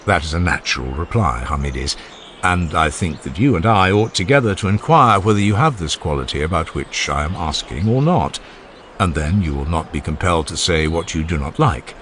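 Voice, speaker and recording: A man playing an older philosopher who questions patiently and courteously in formal, measured phrases. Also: sits low, animated, a bit bright, slight background noise. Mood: patient, inviting. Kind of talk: dramatized philosophical dialogue.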